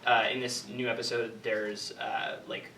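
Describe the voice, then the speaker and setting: A young man answering questions informally as a writer, haltingly thinking aloud and hedging as he goes. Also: medium pitch, little pitch movement, pushed and bright. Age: young adult. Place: indoor room with an audience. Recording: echoey room from far off, clear background noise.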